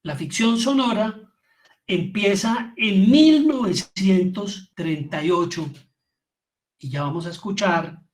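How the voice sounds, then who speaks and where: High-pitched Colombian man lecturing. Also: expressive, takes it slowly. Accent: Colombian Spanish. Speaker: lecturer, theatre and radio drama maker. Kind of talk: informal online lecture on audio fiction.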